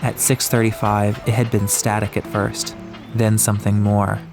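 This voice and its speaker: A man reads an atmospheric story as an audiobook narrator. His voice is low. Music plays clearly behind the scripted narration. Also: level tone, normal speed.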